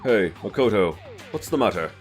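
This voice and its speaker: A man's voice: fairly low pitch, lively intonation, moderate pace, faint music behind, natural voice. A man playing a cold, haughty, impatient young male student in an anime game fan dub, with a condescending, commanding manner. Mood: cold, dismissive.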